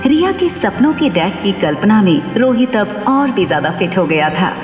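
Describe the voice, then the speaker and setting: A woman's voice: medium pitch, very animated, normal speed, music in the background. A woman narrating a scripted dramatic audio story. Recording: echoey long reverb.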